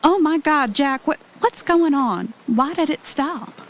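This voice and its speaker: High-voiced adventurous young podcaster played by a woman. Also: lively intonation, unhurried, a little hiss or hum. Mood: frightened, bewildered. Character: anxious, adventurous woman podcaster vacationing with her partner. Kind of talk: audio drama acting.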